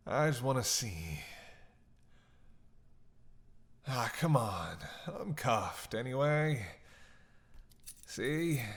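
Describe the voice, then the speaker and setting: Man playing a slow-drawling, cocky vampire captive. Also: mid-range voice, fairly bright tone, exaggerated voice. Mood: flirtatious coaxing. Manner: sarcastic, teasing, drawling. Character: captive male vampire, cocky, witty, flirtatious, menacing tease. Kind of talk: dramatic roleplay monologue to the listener.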